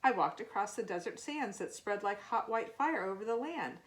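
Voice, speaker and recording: A woman voicing a calm, wise man seeker in a children's storybook, earnest and plain-spoken. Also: medium pitch, normal speed, close to an everyday voice. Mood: earnest recounting.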